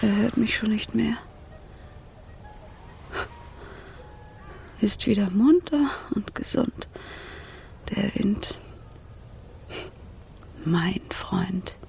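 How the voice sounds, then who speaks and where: A woman playing a willful young female fire spirit in a German children's radio play, theatrical and expressive. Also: medium pitch, slow, gravelly, long pauses, slight background noise. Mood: weary, sad. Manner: theatrical, expressive, swinging between pleading and coaxing.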